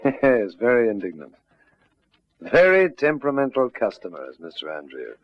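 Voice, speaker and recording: An actor playing a calm, firm detective sergeant, measured, dryly courteous and politely insistent, in an old radio drama. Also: male voice, fairly low pitch, no room sound. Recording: dull, noisy old radio drama recording.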